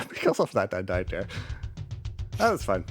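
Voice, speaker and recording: Guy speedrunning n chatting, lowish voice, laid back commentary, game music going behind. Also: wildly swooping pitch, unhurried. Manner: easygoing, conversational. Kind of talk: casual live game commentary.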